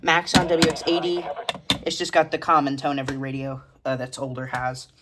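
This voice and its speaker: A woman's voice: a bass voice, flat delivery, a little fast, a bit bright, a little hiss or hum. A woman giving a casual, matter-of-fact show-and-tell of a hobby collection in short, clipped phrases, recorded indoors at home.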